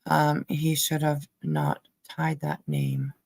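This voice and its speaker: Slow, creaky-voiced woman livestreaming. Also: low voice, very animated, a little mellow. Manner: halting, hesitant delivery with trailing asides. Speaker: livestream host. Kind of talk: casual livestream commentary over played clips.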